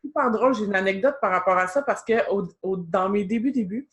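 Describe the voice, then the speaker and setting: A woman's voice: mid-range voice, fairly quick. Chatty Québécoise woman giving advice. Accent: Québécois French. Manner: chatty, explanatory, frequent "tu sais". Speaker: guest expert. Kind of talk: casual advice chat.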